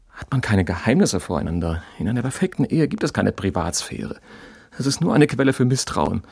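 A man's voice: low voice, gravelly, darkish tone, husky, no room sound, exaggerated voice. An actor in a German radio drama playing an obsessive, pedantic abandoned husband who insists on his ideas with great earnestness. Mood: solemn, dogmatic.

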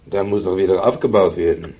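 Actor as deep, dark-voiced insistent German man. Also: moderate pace, highs cut off, close to an everyday voice. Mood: resolute. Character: remembered German man, insistent about rebuilding after war. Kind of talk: radio drama acting.

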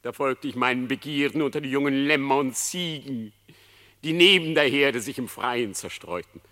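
A man's voice: medium pitch, bright, forceful tone, natural voice. An actor in a radio play voicing a cunning condemned male fox who makes a solemn, declamatory plea to a crowd. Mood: pious confessing. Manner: declamatory, solemn, drawn-out public confession. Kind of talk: classic German radio play acting in verse. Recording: long hall reverb on the voice.

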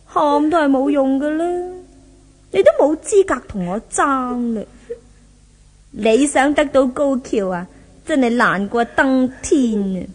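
A woman's voice: sits high, expressive, a little slow. A woman playing a spiteful, imperious young rich villainess in a 1970s Hong Kong Cantonese radio drama. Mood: cruel gloating. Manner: taunting, haughty, theatrical.

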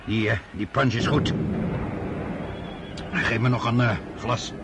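An actor in a radio drama playing a commanding, middle-aged emperor who is curt, impatient and self-assured, given to muttered asides. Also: male voice, mid-range voice, steady tone, slow pace, lightly acted. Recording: noisy battlefield radio drama with artillery.

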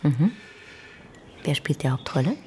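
An actress playing a cool, guarded, melancholy film diva in a radio drama, languid and at times breathy. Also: female voice, low voice, animated, unhurried, darkish tone, a little hiss or hum. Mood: coy, teasing.

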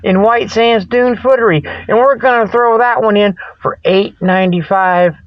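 A man's voice: high voice, moderate pace, no echo. A man hosting a comic mock report in a folksy, rambling, colloquial way that often loses its thread, on lo-fi audio. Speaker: host of a comedic mock news report. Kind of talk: rambling comic monologue, spoof sports and ad report.

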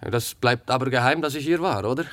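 A man's voice: mid-range voice, quick pace, fairly bright tone, dry recording, natural voice. A man acting in a radio drama as a secretive, brooding working man who talks tersely and insistently in colloquial words. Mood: agitated, insistent.

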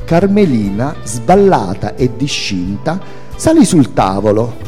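A man reads a literary memoir aloud with a theatrical storyteller's delivery. His mid-pitched voice is mellow and moves through lively swings of intonation. It rings in a long hall reverb, with music playing faintly underneath. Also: slow. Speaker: reader at a spoken-word event with music. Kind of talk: expressive literary reading of a memoir.

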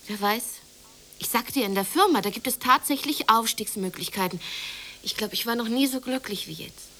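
An actress playing a lovestruck, hopeful and quietly defiant working woman in a radio play, speaking plainly with dry retorts. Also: on the high side, a little fast, lightly acted. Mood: dreamy, hopeful. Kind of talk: naturalistic radio play dialogue.

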